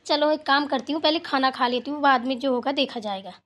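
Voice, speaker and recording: A woman's voice: high voice, fairly quick, fairly bright tone. A woman voicing a grumbling but caring elderly village mother-in-law in a colloquial, fussing Hindi cartoon family drama. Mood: grumbling, hungry.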